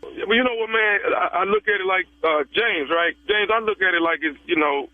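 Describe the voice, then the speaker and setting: A man in his thirties making a prank phone call, halting and casual, with many fillers. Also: high-pitched. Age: 30s. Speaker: prank caller. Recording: tinny dry phone line.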